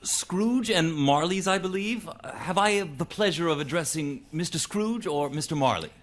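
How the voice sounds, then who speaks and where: A man's voice: a little high, expressive, normal speed, close to an everyday voice. A man playing a polite, earnest charity collector in a live radio drama, formal and courteous.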